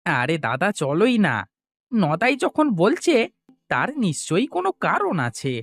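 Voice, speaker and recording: Man acting an eager, lively younger farmer. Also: high-pitched, unhurried, gravelly, exaggerated voice. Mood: coaxing. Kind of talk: Bengali comic cartoon acting.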